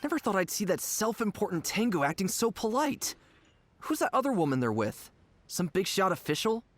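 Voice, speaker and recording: A man's voice: high-pitched, very animated, fairly quick, no echo. A man voicing a cheeky, scheming young gang underling in a video game, speaking informally and emphatically. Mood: suspicious, gossipy.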